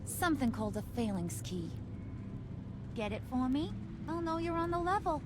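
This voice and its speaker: An actress with an American accent playing a playful, menacing young villainess in a video game, teasing in sing-song slang with mock sweetness. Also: female voice, high voice, big pitch swings, normal speed, background noise. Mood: bossy, menacing.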